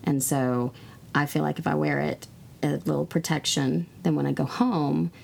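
A hoarse woman co-hosting a podcast, chatting casually and swearing now and then. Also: sits low, fairly even intonation, moderate pace, darkish tone. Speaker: podcast co-host. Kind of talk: casual chat. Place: recording in Texas.

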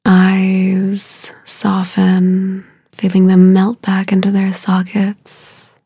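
Slow, soft woman guiding meditation. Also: mid-range voice, monotone, husky, dry recording, clean studio sound. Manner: soothing, unhurried, with long pauses between short phrases. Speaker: meditation guide. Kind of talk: guided meditation.

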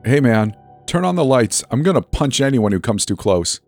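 Man acting a frightened young man calling out, pitch raised. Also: sing-song, moderate pace, dark tone, no room sound, faint music behind. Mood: alarmed, shouting. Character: frightened young male camp kitchen worker, shouting, defensive. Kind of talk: dramatized horror story narration.

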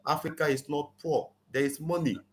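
A man's voice: fairly high pitch, fairly even intonation, normal speed, a little hiss or hum. A man, speaking earnestly as an interview guest, often saying "you know" and pressing hard on his key points. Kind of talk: conversational interview, advocacy.

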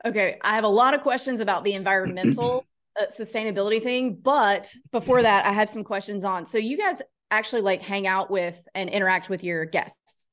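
A woman's voice: mid-range voice, a little fast, fairly bright tone. Casual podcast host, woman, breezy and upbeat.

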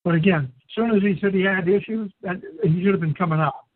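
A man's voice: high voice, fast talker, no echo. Blunt man on a tinny line. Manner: blunt, incredulous, folksy. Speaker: co-host of diving talk show. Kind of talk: casual opinionated discussion. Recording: dry, tinny phone-like sound.

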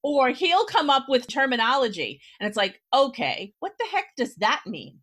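A woman's voice: high voice, big pitch swings, moderate pace, a bit bright. A woman hosting a casual interview, talking in a direct, explanatory way and checking in with the listener as she goes. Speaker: podcast host, writer.

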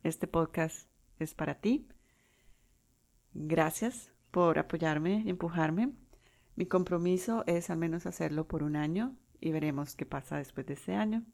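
A Colombian woman in her forties hosting her own podcast, talking unscripted with pauses and restarts. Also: low voice, gravelly, darkish tone. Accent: Colombian Spanish. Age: 40s. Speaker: podcast host. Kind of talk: solo podcast monologue.

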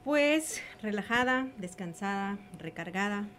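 A laid-back woman co-hosts a casual radio chat. Her voice sits at a middle pitch, and her phrases often fade softly at the end. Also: expressive, measured pace, slight background noise. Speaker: co-host.

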